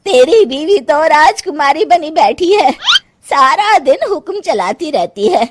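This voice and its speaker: Woman doing a tired sharp-tongued saas in a cartoon, slow and drawn out, pitch going up and down a lot, scolding. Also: animated, background noise. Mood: exasperated. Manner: scolding, exasperated, homely. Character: harried older mother-in-law, fed up and sharp-tongued. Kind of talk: Hindi cartoon story voice acting.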